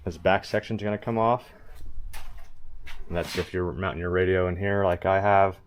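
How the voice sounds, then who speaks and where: A man's voice: deep voice, normal speed. A man giving a casual, hands-on how-to, plain-spoken and matter-of-fact as he goes step by step. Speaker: host of a truck DIY install video. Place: in and around a pickup truck. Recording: steady background noise.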